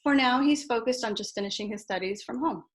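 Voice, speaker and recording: A woman from Florida, around her forties, giving a prepared icebreaker speech on a video call in an earnest, measured way. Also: a little high. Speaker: first-time speaker.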